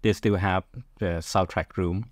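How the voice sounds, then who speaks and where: Deep-voiced middle-aged Thai man, hesitant. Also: fairly even intonation, a little slow, no room sound, studio quality. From Thailand. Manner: hesitant, searching for words. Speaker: podcast guest, film director. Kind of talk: casual interview answers.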